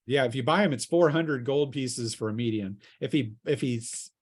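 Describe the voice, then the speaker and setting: A man runs a tabletop game in a casual, conversational voice of average pitch. He speaks haltingly, thinking aloud as he explains things to players. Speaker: game master. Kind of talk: casual tabletop role-playing game talk.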